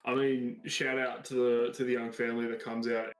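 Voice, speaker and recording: A man's voice: medium pitch, flat delivery, moderate pace. A man in his thirties with an Australian accent, co-hosting a casual card-game chat with an enthusiastic, agreeable manner. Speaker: podcast co-host. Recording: roomy sound with faint music.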